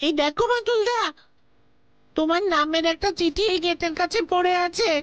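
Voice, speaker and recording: Woman as an excitable, very high-voiced cartoon boy. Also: moderate pace, no echo, lo-fi sound. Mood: eager, curious. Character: mischievous, excitable little boy. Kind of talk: Bengali children's cartoon acting. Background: background music.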